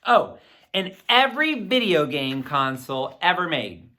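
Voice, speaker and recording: Middle aged guy doing a kids read-aloud, super upbeat principal type, bright punchy voice, really bouncy intonation. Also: fairly high pitch, very animated, measured pace. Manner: upbeat, emphatic, encouraging. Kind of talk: enthusiastic address and children's book read-aloud.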